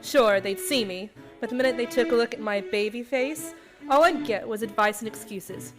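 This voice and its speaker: A woman acts out a cynical young con artist telling her story in a radio play. The voice sits on the high side and sounds wry and hard-boiled, with clear music behind it. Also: moderate pace, lightly acted. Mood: wry, bitter. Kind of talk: 1940s radio acting, confessional narration.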